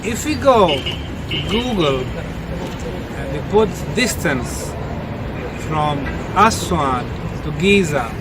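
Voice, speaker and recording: Male tour lecturer, high register, unhurried and emphatic delivery with pauses, recorded outdoors. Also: very animated. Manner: deliberate, emphatic short phrases with pauses. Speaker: researcher guiding a tour group. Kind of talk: explanatory on-site lecture to a group. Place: outdoors at a quarry site. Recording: very noisy outdoor location sound.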